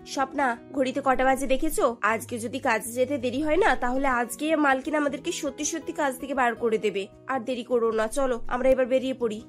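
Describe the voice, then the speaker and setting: High female voice, woman acting poor cartoon housemaid, anxious, deferential, pleading, music underneath. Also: fairly even intonation, a little fast, natural voice. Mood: worried.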